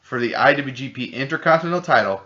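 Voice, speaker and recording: A man co-hosting a casual podcast chat, rambling and excitable, swearing freely. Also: mid-range voice, fast talker. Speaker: podcast co-host. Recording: dull lo-fi podcast audio.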